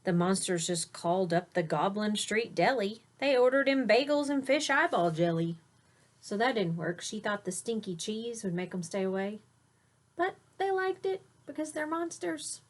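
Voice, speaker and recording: A woman reading a children's picture book aloud expressively, stressing key words and pausing to explain things simply for young listeners. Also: mid-range voice, lively intonation. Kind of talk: storybook read-aloud with simple explanatory asides.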